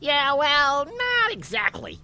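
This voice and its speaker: A woman's voice: sits high, wildly swooping pitch, slow pace, bright, forceful tone, slight background noise, full character voice. A woman playing a plucky, chatty water molecule living inside a snowman, matter-of-fact and pushy, in a children's audio drama. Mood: inquisitive, coy.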